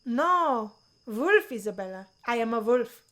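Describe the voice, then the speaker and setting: A woman plays an earnest young male student in a visual novel. He is literal-minded and speaks stiffly and formally, in a bright tone. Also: fairly high pitch, very animated, unhurried. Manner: stilted, formal, without contractions.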